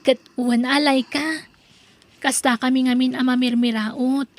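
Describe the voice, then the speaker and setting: A woman acting a humble, grateful young student from a poor family in an Ilocano radio drama, earnest and gentle, with an Ilocano accent. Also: high-pitched, fairly even intonation, a little slow, dry recording.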